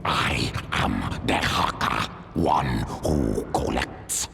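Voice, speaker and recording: A man voicing a hulking, blunt primal alien beast in a video game, with a deep gravelly growl and ponderous, literal delivery. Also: extremely slow, heavy background noise, full character voice. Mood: blunt, hungry. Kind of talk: video game character acting.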